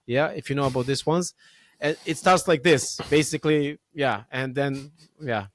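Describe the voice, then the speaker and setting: A man's voice: medium pitch, no room sound, clean studio sound. Chatty man who laughs a lot. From Serbia. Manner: laughs often, halting with restarts and fillers. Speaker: podcast host. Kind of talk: casual conversation.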